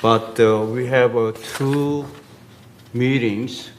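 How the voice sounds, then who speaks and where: An older man, a board member speaking up at a community meeting, hesitant and slow as he gropes for words. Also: mid-range voice, fairly even intonation, slight background noise.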